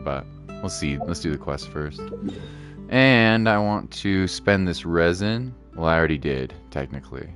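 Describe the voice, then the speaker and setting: Male game streamer, low register. Informal, chatty, thinks out loud over faint game music. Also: gravelly. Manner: informal, rambling, thinking aloud, occasional playful exclamations. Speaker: gaming livestream host. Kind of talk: casual livestream gameplay commentary, chatting with viewers.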